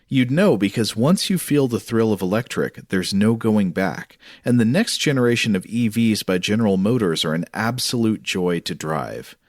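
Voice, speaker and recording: A man's voice: lowish voice, lively intonation, normal speed, few pauses, no echo. A male podcast co-host talking in a conversational way, close to the microphone. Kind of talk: casual chat, scripted ad reads and listener mail.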